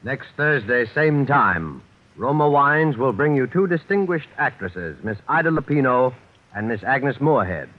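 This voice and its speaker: A man making measured, formal announcements in 1940s radio style. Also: medium pitch, animated. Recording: phone-like vintage radio broadcast.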